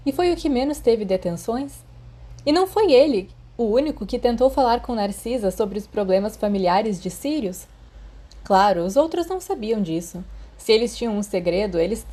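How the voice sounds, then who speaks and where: Mellow-voiced Brazilian woman narrating fiction. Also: on the high side. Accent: Brazilian Portuguese. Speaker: audiobook narrator.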